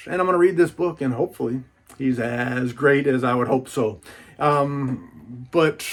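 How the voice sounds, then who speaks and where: A man chatting casually through an unboxing as a book collector, in a folksy, rambling, informal way. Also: mid-range voice.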